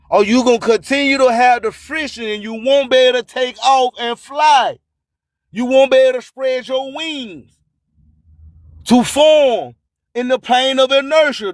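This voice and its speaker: A man speaks in a strikingly high-pitched voice, taking his time over each phrase. He preaches like a motivational radio host, emphatic and fond of repeating his lines. The sound is dry and close, with no room around it. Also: dry recording. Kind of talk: motivational spoken-word sermon.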